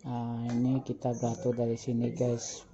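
A man's voice: mid-range voice, monotone, normal speed, crowd noise. A man with an Indonesian accent, chatting casually to viewers as he walks through a busy indoor shopping mall. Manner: chatty, addressing viewers as guys. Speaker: vlogger. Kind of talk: casual walking vlog. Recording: lo-fi with loud background music.

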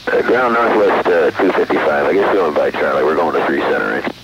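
A man's voice: a bass voice, lively intonation, a little fast, fairly bright tone. A man speaking as an airline pilot, giving informal, hesitant readbacks to ground control over aviation radio. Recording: muffled, noisy cockpit radio transmission.

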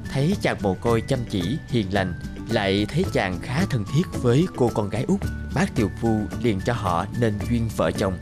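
A man's voice: on the low side, animated, normal speed, hardly any pauses, lightly acted. A man narrating a scripted fairy tale for a cartoon. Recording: music behind the voice.

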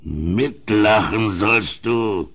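A man's voice: on the low side, very slow, fairly bright tone, full character voice. An actor playing a cruel, drunken king in a German audio drama, domineering and mocking as he commands. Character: cruel, drunken, bullying king, given to loud mirth.

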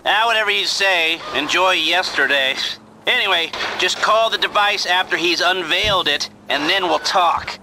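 A man's voice: high voice, moderate pace, noisy background, close to an everyday voice. An actor playing a cynical, nerdy male schemer with a dismissive, sardonic manner in a video game.

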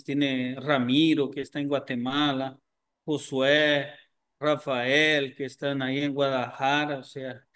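An older man hosting a video call, speaking Spanish in a gentle, unhurried, pastoral way. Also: mid-range voice, big-room sound. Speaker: host.

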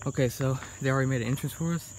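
A young man filming himself exploring a dark abandoned warehouse at night, talking in a hushed, casual way into a handheld tablet. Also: mid-range voice, racing, slight background noise. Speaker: vlogger exploring an abandoned building. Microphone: handheld tablet camera.

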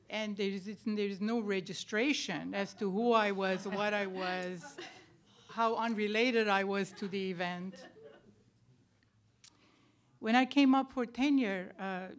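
An older woman speaks at a panel in a lecture room, telling her own story as an academic. Her voice sits at a medium pitch for a woman. She talks deliberately and leans on key words for emphasis, speaking into a stand microphone on the table. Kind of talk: personal account at a panel talk.

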